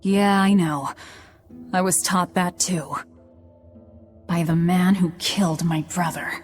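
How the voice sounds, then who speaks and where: A woman voicing a grim, hard-bitten young outlaw in a video game, curt and deadpan. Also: on the low side, takes it slowly, faint music behind. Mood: curt, bitter.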